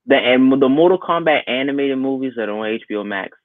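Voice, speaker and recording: A man's voice: medium pitch, animated, quick pace, no room sound. A man co-hosting a pop culture podcast in casual, blunt, opinionated talk, heard through thin, phone-like audio. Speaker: podcast co-host. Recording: thin phone-like band-limited sound.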